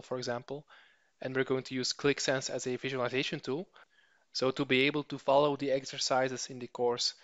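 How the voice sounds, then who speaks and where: A male instructor talks through course slides in a steady, explaining way, with a flat, even pitch line. His voice is smooth and clean, recorded close and dry. The sound is slightly dull and lo-fi. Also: dry recording. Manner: steady explanatory delivery with occasional fillers. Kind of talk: slide-based course introduction. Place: voice-over for slides.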